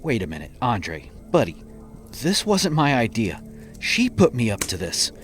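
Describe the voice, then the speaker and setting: Actor as cornered captive man, glib, stammering, lively swinging pitch, music behind, horror drama. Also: a little slow. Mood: nervous excuses. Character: cornered captive man, a flippant excuse-making killer. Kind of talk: horror audio drama acting.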